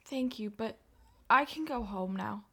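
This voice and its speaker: An actress playing a gentle, grieving young Armenian woman, soft-spoken and quietly stubborn, in a radio play. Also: mid-range voice, unhurried. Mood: timid, weary. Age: young adult.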